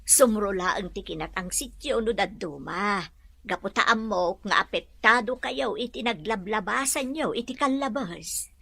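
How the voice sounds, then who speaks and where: A woman as a husky, motherly housemaid. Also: mid-range voice, unhurried, fairly bright tone, no echo. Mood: earnest, reassuring. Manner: deferential, earnest. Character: loyal, forgiving motherly housemaid nanny. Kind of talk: audio drama acting.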